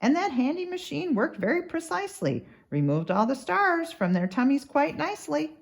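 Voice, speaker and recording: Very animated woman reading a storybook. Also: sits high, normal speed, vocal fry. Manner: rhythmic, dramatic read-aloud. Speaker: doctor reading a children's book. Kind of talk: expressive storybook narration.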